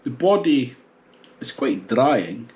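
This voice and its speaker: A man in his sixties casually reviewing a beer at home, thinking aloud in a relaxed, musing way with pauses. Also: medium pitch, muffled recording. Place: small home bar room, indoors.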